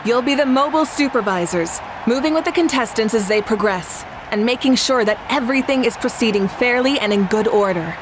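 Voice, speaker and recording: A woman's voice: a little high, lively intonation, a little fast, hardly any pauses, noisy background, lightly acted. A woman voicing a formal tournament announcer who speaks in a ceremonious, polite way, in game voice acting. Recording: game audio with music.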